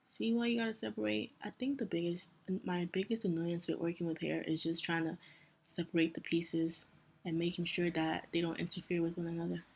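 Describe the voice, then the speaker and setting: Relaxed young Nigerian woman, low and level. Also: fairly quick, creaky, husky. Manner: relaxed, self-correcting, chatty asides. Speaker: hair tutorial vlogger. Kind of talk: casual step-by-step tutorial.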